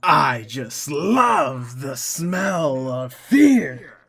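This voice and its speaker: A man voicing a taunting, menacing film villain in a slow, deliberate, drawn-out way. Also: on the high side, sing-song, vocal fry, a bit bright, husky, soft background music, exaggerated voice.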